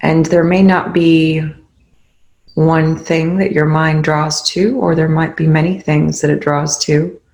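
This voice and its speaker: A meditation guide leading a guided meditation in gentle, instructional phrases with pauses between them. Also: mid-range voice, flat delivery, creaky.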